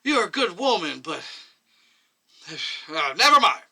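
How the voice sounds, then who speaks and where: A man acting out a stern male chairman in a dramatic story reading. He interrogates with exasperated emphasis, stretching key words. The voice is sharp and forceful, leaping widely in pitch. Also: big pitch swings, measured pace, vocal fry, full character voice.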